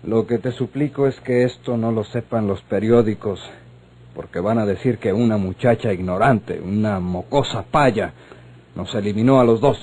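An actor playing an imperious, short-tempered Mexican police colonel in a radio serial, with a husky voice. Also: male voice, on the low side, moderate pace, a little mellow. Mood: embarrassed, pleading. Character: irascible, imperious male secret police colonel. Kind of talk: old radionovela acting.

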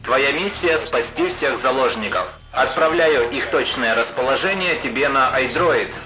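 Earnest military radio operator played by a man, mid-pitched, businesslike cartoon parody briefing with music behind. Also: normal speed. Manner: instructing, businesslike. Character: earnest, urgent male mission operator on radio.

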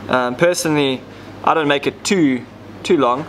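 A man's voice: on the high side, moderate pace, slight background noise, rough recording. A man, casually explaining step by step how to rig fishing gear, with plenty of ums. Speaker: fishing tackle demonstrator. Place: indoor tackle shop counter.

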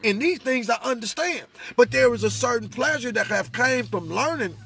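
Middle-aged American man, high-pitched, bright forceful delivery, impromptu preaching, emphatic repetition. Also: lively intonation, moderate pace, creaky, slightly rough. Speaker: religious speaker. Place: inside a parked vehicle.

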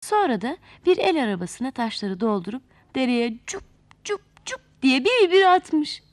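Children's storyteller, female, higher-pitched and very lively, theatrical delivery straight to young listeners, dry close recording. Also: moderate pace, no echo. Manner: theatrical, addresses children directly. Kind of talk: expressive fairy-tale narration.

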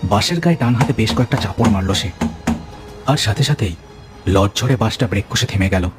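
A man's voice: fairly low pitch, animated, brisk, music in the background. A man telling a cartoon ghost story as narrator, with dramatic scripted delivery.

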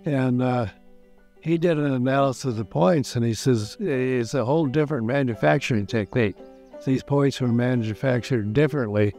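An older man with an American accent speaks haltingly in a documentary interview, pausing mid-sentence as an archaeologist explaining fieldwork. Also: medium pitch, darkish tone. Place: outdoors at a dig site. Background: music.

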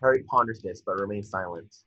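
A man with a husky voice reading screen directions as narrator at a table read over a video call. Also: lowish voice, a little fast, pushed and bright, dry recording. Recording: muffled lo-fi video call audio.

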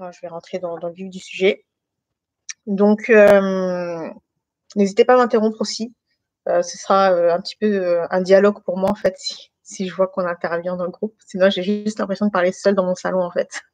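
A woman's voice: fairly low pitch, a little mellow, no room sound, dull audio. Hesitant woman from France, dry close sound. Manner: hesitant, halting delivery with many pauses and repetitions. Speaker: testimony speaker. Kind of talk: informal personal testimony to an online group. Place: living room at home.

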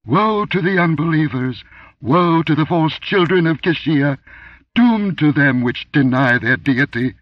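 A man reading aloud, voicing a commanding, inhuman goddess who booms warnings down from on high. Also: animated, unhurried, no room sound, recorded from a distance, lo-fi sound. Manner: booming, thundering pronouncements.

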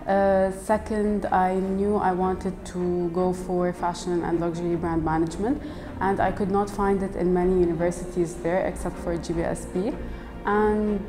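A woman's voice: on the low side, little pitch movement, moderate pace. A woman in her early twenties from Lebanon, giving an interview testimonial as a student. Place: indoors against a plain wall and banner. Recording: reverberant hall, music underneath. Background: clear background music.

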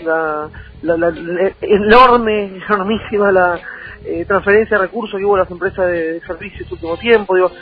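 Phone-like audio, man, Rioplatense Argentine accent, high voice, emphatic halting political interview talk. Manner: emphatic, repetitive, halting phrasing. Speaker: political candidate interview guest. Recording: tinny phone-like sound over music.

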